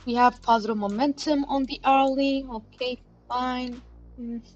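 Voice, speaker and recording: A woman's voice: high-pitched, fairly even intonation, a little slow, lo-fi sound. Chatty woman hosting a live chart stream. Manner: chatty, many fillers, addresses chat directly. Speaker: crypto chart analysis livestream host. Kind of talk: casual live market commentary. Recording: livestream with faint background music. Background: faint background music.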